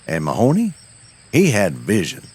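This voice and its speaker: A man's voice: fairly low pitch, wildly swooping pitch, moderate pace, darkish tone, husky. A man narrating a cozy audio story as host, with a folksy cowboy drawl and country sayings.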